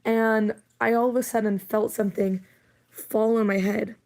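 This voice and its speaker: A woman streaming live, chatting casually in a rambling, giggly way with lots of fillers, her voice hoarse as she loses it. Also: fairly high pitch, little pitch movement, normal speed, darkish tone. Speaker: livestreamer.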